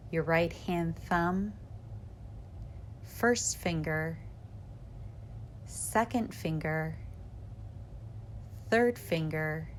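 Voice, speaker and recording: Very slow woman guiding meditation. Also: fairly low pitch, slight background noise. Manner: calm, measured, instructional. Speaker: yoga nidra meditation guide. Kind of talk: guided meditation, short spoken cues with pauses.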